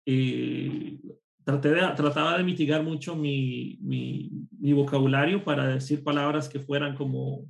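A man from Costa Rica, a programmer and course instructor, telling lively personal stories as a guest in a casual interview. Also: fairly high pitch, gravelly. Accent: none, a neutral Spanish. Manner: lively anecdotal storytelling.

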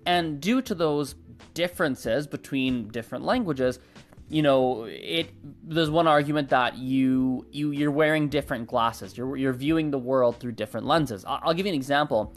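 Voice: medium pitch, moderate pace, faint music behind. A young adult host whose first language is Gujarati, thinking aloud in a casual monologue and often hedging and correcting themself. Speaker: channel host.

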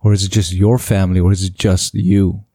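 A very deep-voiced man leads a casual interview and asks curious, probing questions. His voice is mellow and dark, and it sounds dry and close. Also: little pitch movement, brisk, dry recording.

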